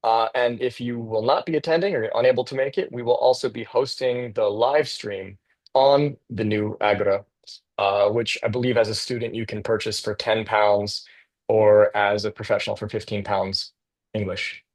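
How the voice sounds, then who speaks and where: A man's voice: lowish voice, steady tone, moderate pace. A man from the United States, presenting as a guest architect over a video call in a casual, enthusiastic way with some fillers.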